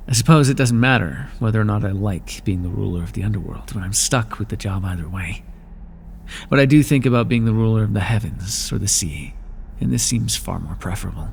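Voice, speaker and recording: An aloof, lonely underworld god, played by a man with a low, gravelly voice. He talks close to the mic in a dry, unhurried, sardonic way, with a faint hiss behind him. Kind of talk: ASMR roleplay.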